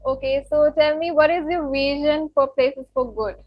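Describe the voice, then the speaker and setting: A woman's voice: sits high, fairly even intonation, normal speed, no room sound, dull audio. A woman from Pakistan hosting an interview, speaking hesitantly with many fillers. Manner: warm-hearted, hesitant with fillers. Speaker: podcast host.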